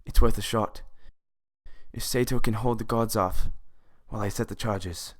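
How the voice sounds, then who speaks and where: A man's voice: on the low side, steady tone, normal speed, lightly acted. A man playing a wry, pragmatic team operative in a fan audio drama, speaking in a dry, clipped way. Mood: brisk, urgent.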